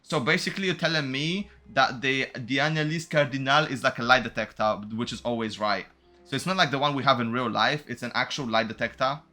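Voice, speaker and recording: A man's voice: mid-range voice, fairly quick. A man doing casual, slangy gameplay commentary, reading dialogue aloud and reacting with animation as he streams. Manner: slangy, animated reactions, stumbles over foreign names. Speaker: game streamer playing and reacting.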